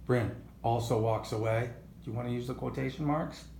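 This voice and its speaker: Gravelly male sports host, roomy sound. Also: mid-range voice, fairly even intonation, a little mellow. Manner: wordy, halting, rhetorical questions. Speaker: boxing talk show host. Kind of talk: conversational sports interview. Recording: roomy echo, faint background noise.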